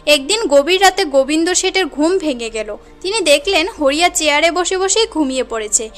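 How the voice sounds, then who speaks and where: A woman tells a cartoon story in a high voice. The delivery is scripted storybook narration, with soft music underneath. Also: expressive, normal speed.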